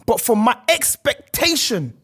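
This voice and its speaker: A man's voice: very high voice, sing-song, a little slow, sharp tone, breathy edge. A man from Congo, arguing his side insistently in a football podcast and often tripping over his words. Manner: insistent, repeats himself, stumbles over words. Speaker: podcast co-host, Arsenal fan. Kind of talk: heated football debate.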